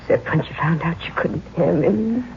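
A woman's voice: lowish voice, normal speed, close to an everyday voice. An actress playing a bitter, grieving older mother with an American accent in a 1950s radio drama. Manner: emotional, wounded, bitter. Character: grieving, bitter elderly mother of a murdered son. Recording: muffled old radio recording, faint noise.

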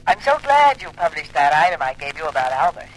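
A man's voice: on the high side, sing-song, a little fast. A man playing a mysterious anonymous informant in a 1950s radio drama, speaking in a gleeful, teasing way. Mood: gleeful taunting. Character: mysterious, taunting anonymous male telephone informant. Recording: noisy old radio drama recording.

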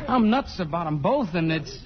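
An actor playing a lonesome, tipsy young American army corporal in a 1950s radio drama, hesitant and rambling. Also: male voice, on the high side, expressive, moderate pace, a crowd in the background, close to an everyday voice. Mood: tipsy, torn. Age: young adult. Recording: muffled vintage radio broadcast with music.

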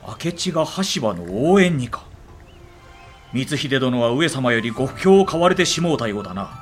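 A man's voice: mid-range voice, very animated, moderate pace, soft background music, lightly acted. Man acting a wary warlord, swooping voice. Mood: wary, musing. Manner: archaic, lordly samurai speech. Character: Tokugawa Ieyasu, wary, suspicious middle-aged warlord. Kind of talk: Japanese period drama acting.

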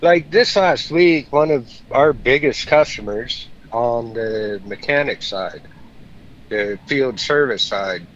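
A man's voice: mid-range voice, slow, dull audio. A self-employed mechanic in his forties, a podcast guest, who talks bluntly and swears freely in good-natured chat. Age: 40s. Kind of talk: casual chat.

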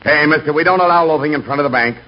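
A man's voice: on the high side, very fast, natural voice. A man playing a blunt, slangy, skeptical bank doorman in 1940s radio comedy. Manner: slangy, ungrammatical. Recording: muffled, hissy 1940s radio recording.

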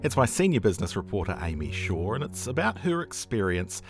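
Lively low-voiced New man hosting. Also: fairly quick, music in the background. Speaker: podcast host introducing and interviewing. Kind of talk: hosted news podcast interview.

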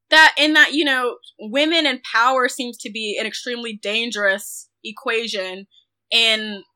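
A woman with a fairly high voice and a bright, crisp edge co-hosts a casual film discussion. She is animated and opinionated, with a sardonic streak. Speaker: film podcast co-host.

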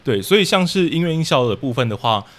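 A man's voice: a little high, very animated, fast talker, a bit bright. A man with a Taiwanese accent speaking as a podcast guest, casually explaining his creative work step by step. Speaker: podcast guest, audio drama troupe leader. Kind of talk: casual explanatory interview talk.

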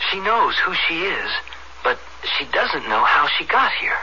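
An actor playing a kindly, easygoing young American medical student in a 1970s radio drama, informal and coaxing. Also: male voice, fairly high pitch, sing-song, a little slow, lo-fi sound, slight background noise, heavily acted. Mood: earnest, persuasive. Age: young adult. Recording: band-limited 1970s radio recording, loud music behind.

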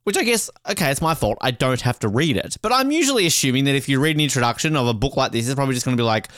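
An Australian man with a very lively, swinging pitch talks in a casual podcast style. He rambles, jokes and swears freely. The sound is dry and close. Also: racing, dry recording. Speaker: podcast co-host.

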